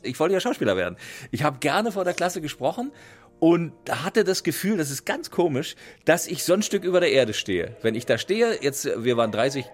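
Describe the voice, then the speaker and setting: An older man from East Germany explaining in animated, casual conversation, slipping into emphatic, theatrical quotation. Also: a little high, moderate pace, soft background music. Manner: theatrical, quotes verses with emphasis. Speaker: interviewee.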